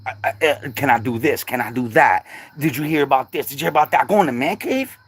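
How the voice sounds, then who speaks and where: A man's voice: mid-range voice, fast, husky. A middle-aged man chatting casually on a livestream, laid-back and slangy, laughing often. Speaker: livestream host.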